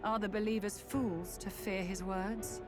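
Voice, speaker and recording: A serene and cold church heiress from a fantasy game, acted by a woman. She speaks in a lofty, measured, theatrical way at a medium pitch for a woman. Music plays clearly behind her. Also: fairly even intonation, lightly acted. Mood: solemn, lofty. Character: serene, cold church heiress, a self-appointed saintly villainess. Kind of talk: dramatic fantasy game acting.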